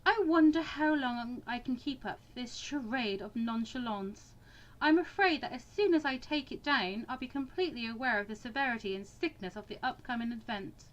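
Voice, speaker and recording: A woman voicing an anxious, wryly sarcastic bookish teenage girl in an expressive, introspective visual novel reading. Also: fairly high pitch, normal speed, muffled recording, close to an everyday voice. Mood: anguished.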